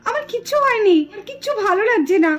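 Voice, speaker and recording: Woman doing a very high-pitched lovesick young doll. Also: lively intonation, fast, a bit bright. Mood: anxious, pleading. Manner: pleading, coy, teasing. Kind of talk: puppet doll drama.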